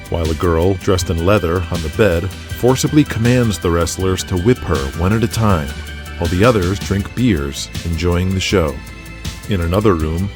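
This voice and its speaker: A man hosting and narrating an audio drama podcast in a steady, measured storytelling manner. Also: sits low. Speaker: narrator and host. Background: music.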